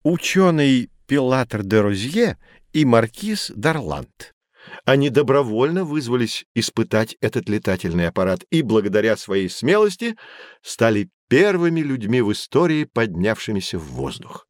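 An actor playing a knowledgeable, patient guide who teaches children in an educational audio drama, with a didactic, emphatic delivery. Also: male voice, medium pitch, sing-song, normal speed, no echo, clean studio sound, lightly acted. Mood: storytelling.

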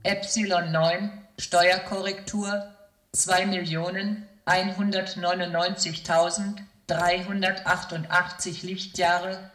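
A woman's voice: fairly low pitch, level tone, slow, a bit bright. A woman playing an impersonal space control announcer in a science fiction radio drama, formal, clipped and emotionless. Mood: cool, official. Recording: echoey announcement sound.

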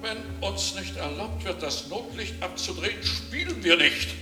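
An actor in a radio drama playing an embittered, pompous performer full of disgust, declaiming in a drawn-out theatrical way. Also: male voice, high-pitched, expressive, pushed and bright, roomy sound, faint music behind, full character voice. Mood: aggrieved, despairing. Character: embittered pompous old-school performer disgusted by provincial life. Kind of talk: German radio drama acting.

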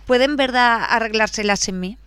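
A woman's voice: on the high side, normal speed, fairly bright tone, smooth, dry recording, clean studio sound, close to an everyday voice. A woman playing a practical, authoritative crew foreman in a radio drama. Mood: hesitant. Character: female dock crew foreman, firm, practical and commanding.

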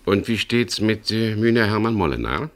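An actor playing a wry, guarded boat skipper in a 1970s German radio crime drama, speaking in a measured, dry way with hesitant pauses. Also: male voice, on the low side, expressive, natural voice. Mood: probing, dry.

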